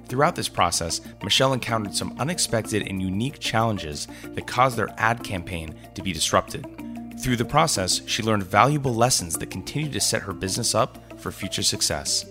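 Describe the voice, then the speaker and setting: Low-voiced male podcast host. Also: moderate pace, music in the background. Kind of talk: scripted intro and interview.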